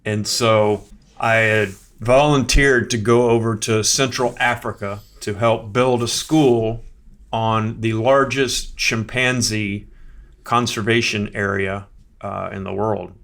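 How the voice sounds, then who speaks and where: A man who is a podcast guest, telling a personal story in a casual, animated way. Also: fairly low pitch, slow pace.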